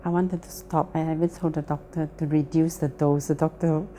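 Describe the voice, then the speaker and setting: A woman's voice: deep voice, little pitch movement, brisk, dark tone, a little hiss or hum. A woman with a Malaysian English accent giving a plain, earnest personal testimony. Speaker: testimony speaker.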